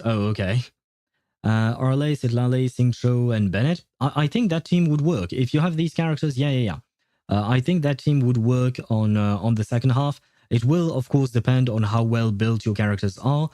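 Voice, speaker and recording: A man livestreaming a game, chatting casually with viewers and explaining things step by step with hesitant pauses. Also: mid-range voice, warm tone, no room sound, studio quality. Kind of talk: casual gaming livestream chat, answering viewer questions.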